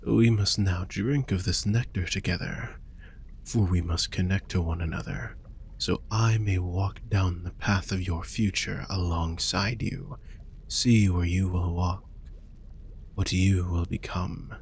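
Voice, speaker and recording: A man playing a suave, possessive vampire fortune teller in an intimate audio roleplay, speaking formally and theatrically with breathy murmurs. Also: deep voice, fairly even intonation, a little slow, a little hiss or hum. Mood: seductive, hushed. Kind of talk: intimate scripted audio roleplay addressed to the listener.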